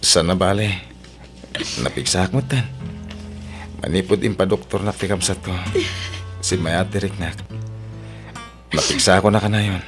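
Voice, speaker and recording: A man with an Ilocano accent playing a forgiving, devoted husband, soft and reassuring in a melodrama. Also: sits low, fairly even intonation, measured pace, pauses often, faint music behind. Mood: tender, reassuring. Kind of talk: Ilocano radio-style melodrama acting.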